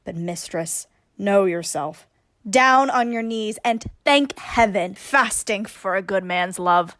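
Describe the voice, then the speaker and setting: A woman plays a bossy, teasing heroine posing as a youth in a Shakespearean radio play. Medium-pitched and recorded very dry, the delivery is theatrical and scolding. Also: takes it slowly, a bit bright, no room sound. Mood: scornful.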